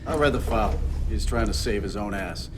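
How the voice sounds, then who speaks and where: A man's voice: lowish voice, fairly quick, distant mic, close to an everyday voice. A man playing a hostile, dismissive government agent in a game cutscene, curt and scornful. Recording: off-mic, clear background noise.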